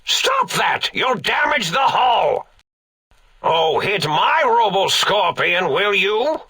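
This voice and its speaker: An actor playing a manic, cackling old mad scientist villain in a video game, theatrical and grandiose, with a raspy, gravelly voice and drawn-out delivery. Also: male voice, high-pitched, sing-song, bright, forceful tone, full character voice. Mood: indignant, manic.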